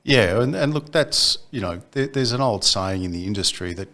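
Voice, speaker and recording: Man, very low voice, CEO guest, measured, halting with ums. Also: animated, sharp tone. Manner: measured, frequent ums and repeated words. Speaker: company CEO, podcast guest. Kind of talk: casual business chat.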